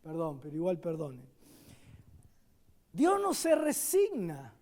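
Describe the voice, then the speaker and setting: A man with an Argentine accent preaching a sermon as a guest pastor at a church service. He swings between loud, emphatic declarations and quiet asides. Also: fairly high pitch, huge pitch swings, slow pace.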